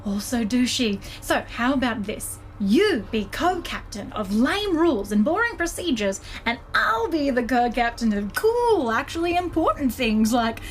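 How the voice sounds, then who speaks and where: A woman's voice: fairly high pitch, very animated, a little slow, few pauses, slight background noise, low-quality recording. A woman playing an irreverent, mischievous starship co-captain in a sci-fi comedy audio drama, gushing, slangy and sarcastic. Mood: irreverent, cocky. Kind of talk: scripted science-fiction comedy audio drama.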